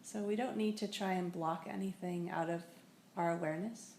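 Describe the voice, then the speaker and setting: A woman teaching a guided meditation, speaking in a gentle, unhurried way with long pauses. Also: lowish voice, steady tone. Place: indoor room in a building. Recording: echoey room with faint noise.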